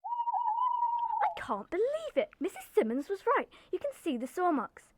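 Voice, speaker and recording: A teenage girl playing an excitable, daring, superstitious village girl in a radio play. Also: very high-pitched, unhurried, no echo, full character voice. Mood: amazed. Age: teens.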